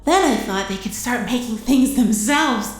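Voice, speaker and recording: An actress playing a plucky, giggly teenage girl inventor in an audio drama, halting with little "uhs" as she talks. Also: fairly high pitch, lively intonation, close to an everyday voice. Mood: proud, excited. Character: plucky teenage girl inventor turned walking war machine. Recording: roomy echo, music underneath.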